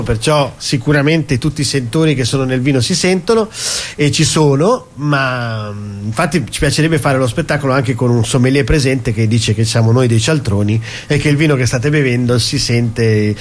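A man's voice: mid-range voice, moderate pace. A man chatting as a guest on a radio show, talking in long run-on sentences and laughing often. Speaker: stage performer and guest. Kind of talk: casual radio chat. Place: radio studio.

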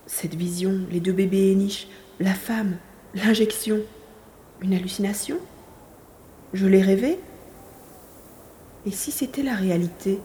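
A woman playing a determined, curious young hero in a science fiction audio drama, expressive and thinking aloud. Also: medium pitch, a little slow, pauses often, a little hiss or hum, lightly acted. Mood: puzzled.

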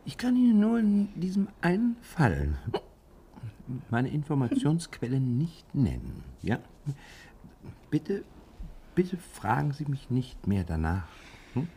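A man's voice: a little high, wildly swooping pitch, slow pace, long pauses, dark tone, exaggerated voice. A man acting in a 1960s German radio drama as a smug, courteous, evasive detective, suave and punctuating his talk with little hms. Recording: noisy old radio drama recording.

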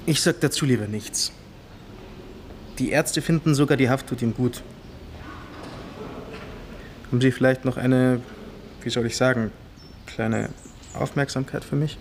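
An actor playing an evasive, venal, gossipy guard in a radio play, speaking in a halting, insinuating, colloquial way. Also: male voice, mid-range voice, slight background noise, lightly acted. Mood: sly, evasive.

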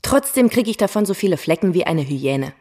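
An actress playing a lively, outspoken masseuse in a radio drama, talking in a blunt, teasing, colloquial way. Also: female voice, on the low side, brisk, slightly rough, exaggerated voice. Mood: blunt, irritated.

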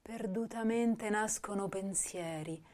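A woman's voice: medium pitch, takes it slowly, darkish tone, lightly acted. An actress playing an intense, hypersensitive young woman poet, reciting verse lyrically in short phrases for a radio drama. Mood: anguished, lyrical. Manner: lyrical, broken into short breath-long phrases. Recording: violin music behind the voice.

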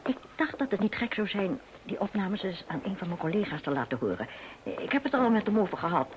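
Actress as animated, earnest academic woman. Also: mid-range voice, fast talker, lightly acted. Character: earnest, self-assured female academic, a phonetics expert. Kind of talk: Dutch radio play acting. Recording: dull radio recording with faint noise.

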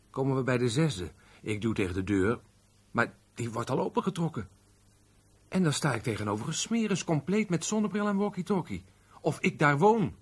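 A man's voice: mid-range voice, breathy edge. An actor playing a sullen, grumbling middle-aged tradesman husband in a naturalistic radio play, aggrieved and plain-spoken. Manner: grumbling, plain colloquial speech.

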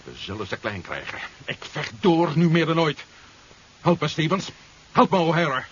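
An actor playing an emotional, determined resistance hero in a 1970s radio drama, speaking in an impassioned, dramatic way. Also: male voice, on the high side, very animated, moderate pace. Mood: subdued, resolute. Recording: muffled, hissy 1970s radio recording.

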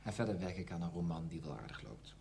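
A man's voice: sits low, big pitch swings, quick pace, vocal fry, a little mellow, slight background noise, exaggerated voice. An actor playing a reserved male novelist with a hesitant, understated manner in a radio play. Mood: guarded, awkward.

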